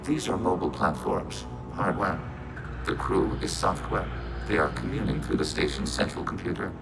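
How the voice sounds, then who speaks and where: An extremely low, gritty robot voice, synthetically processed, speaks in formal, clipped statements. It is an impassive, logical machine soldier from a video game, coolly reporting on behalf of a collective mind. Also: male voice, moderate pace, music in the background. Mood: impassive, analytical. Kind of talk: video game character acting.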